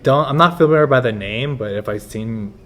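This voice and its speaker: A man's voice: mid-range voice, a little fast, fairly bright tone. A young man, a kickboxing instructor, talks casually as a podcast guest and hesitates with a lot of fillers. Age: young adult.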